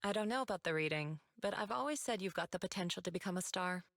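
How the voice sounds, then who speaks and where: A woman's voice: on the low side, expressive, fast talker, a bit bright, no room sound, studio quality. Composed, doting female mystic master. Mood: fond, composed. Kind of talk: anime game voice acting. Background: faint game music.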